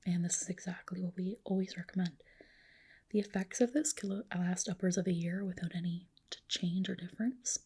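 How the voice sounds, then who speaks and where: Breathy whispering ASMR woman. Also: on the low side, fairly even intonation, a little fast. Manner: gentle, reassuring, attentive. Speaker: ASMR performer playing a medical spa clinician. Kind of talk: whispered ASMR roleplay, personal attention.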